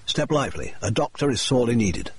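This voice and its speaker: A man voicing a calm, dry, precise male detective, measured and composed in a slightly lowered husky voice. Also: slight background noise. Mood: brisk.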